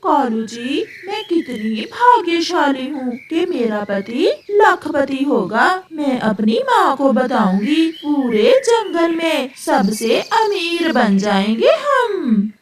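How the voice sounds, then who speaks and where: A woman voicing a boastful, chatty married female crow in a cartoon, with an excitable, gossipy way of talking. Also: sits high, slow pace, few pauses, heavily acted. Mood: delighted. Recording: echoey, noisy, distant microphone.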